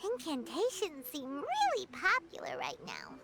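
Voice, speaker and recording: A woman voicing a tiny, childlike, excitable fairy companion in a video game, bubbly and blunt. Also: high-pitched, unhurried, vocal fry, very noisy, full character voice. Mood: worried, insistent. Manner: bubbly, blunt, refers to herself by name.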